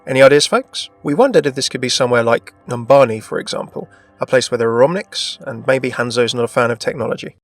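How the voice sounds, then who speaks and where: A man's voice: mid-range voice, fast talker, few pauses. A man presenting a gaming video in a scripted narration style. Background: background music.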